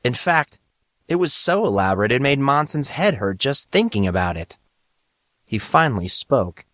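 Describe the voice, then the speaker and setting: A man's voice: mid-range voice, very animated, normal speed, fairly bright tone, no room sound, clean studio sound. A man reading scripted narration for an audio drama of a young adult story.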